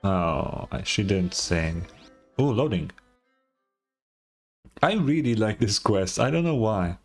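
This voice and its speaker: A man's voice: fairly low pitch, expressive, takes it slowly, faint music behind. A man reacting casually to a game as a streamer, with laid-back, short interjections.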